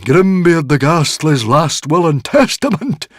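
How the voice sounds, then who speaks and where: A narrator playing a commanding Druid guardian with a loud, declamatory manner. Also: mid-range voice, expressive, slow pace, no echo, studio quality, voice pushed up. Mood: amazed, commanding.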